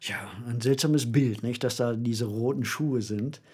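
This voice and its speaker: A man's voice: medium pitch, measured pace, darkish tone, breathy edge. A man in a podcast discussion, explaining things precisely in a conversational way.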